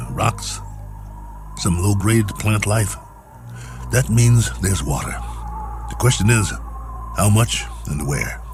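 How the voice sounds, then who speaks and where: An actor playing a stranded, injured but stoic space colonel in a radio drama, speaking in terse, dramatic lines. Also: male voice, on the low side. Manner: terse, weary log-keeping and urgent radio calls. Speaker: actor in lead role. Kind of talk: dramatic radio play acting.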